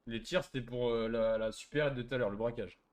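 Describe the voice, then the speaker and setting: A man's voice: medium pitch, fairly even intonation, a little fast. A man arguing casually and in slang as a player in an online roleplay game. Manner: slangy, argumentative.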